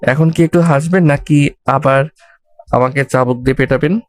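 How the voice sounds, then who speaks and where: A man's voice: mid-range voice, normal speed, lightly acted. Cheeky, bold young servant played by a man. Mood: teasing. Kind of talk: audio love story dramatic acting.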